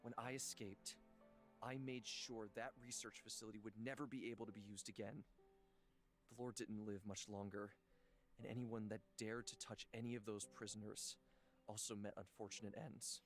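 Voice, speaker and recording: Man playing a weary, haunted immortal healer, soft and halting. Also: lowish voice, little pitch movement, close to an everyday voice. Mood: anguished, grave. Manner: slow, halting, soft-spoken confiding. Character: weary male immortal healer, gentle, haunted by old torture. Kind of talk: dramatic visual novel voice acting. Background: background music.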